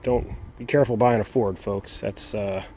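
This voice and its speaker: A man's voice: lowish voice, fairly even intonation, normal speed, warm tone. A man chatting casually and informally to his viewers as the host of a car vlog, in a folksy way. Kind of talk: casual handheld vlog chat. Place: mostly outdoors, around vehicles, parking lot and property. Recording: noisy outdoor recording with vehicle sounds.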